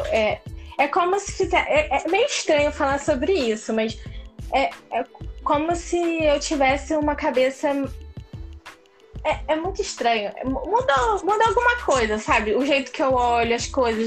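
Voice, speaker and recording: A woman from Brazil chatting as an interview guest, animated and laughing, with many restarts. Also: high voice. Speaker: interview guest, English learner. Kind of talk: casual live interview chat.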